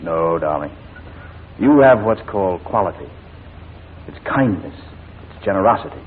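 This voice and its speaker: Proud sharp lawyer in old 40s radio drama, deep formal voice, tinny noisy recording. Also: male voice, lively intonation, moderate pace. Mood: tender. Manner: articulate, formal, insistent. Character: shrewd, proud English prosecuting barrister, devoted husband. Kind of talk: 1940s radio drama acting.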